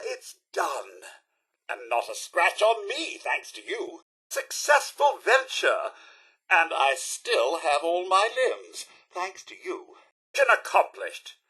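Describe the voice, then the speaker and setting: High, piercing, fussy British robot butler. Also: male voice, sharp tone, slightly rough, heavily acted, rough recording. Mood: pleased, triumphant. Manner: prim, mannered, theatrical. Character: fussy, polite robot butler obsessed with cleanliness. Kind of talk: scripted video game character lines.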